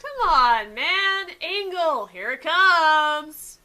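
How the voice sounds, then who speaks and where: A woman's voice: sits high, expressive, drawn-out words, pushed and bright, pitched above the performer's own voice, heavily acted. A woman voicing a cheeky, eager American tank girl in a video game, playful and teasing.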